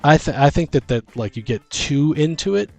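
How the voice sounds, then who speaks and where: Rambling casual man, slightly low-pitched, music behind. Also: lively intonation, normal speed, vocal fry, a little mellow. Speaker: podcast host and game master. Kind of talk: casual chat about tabletop game world-building.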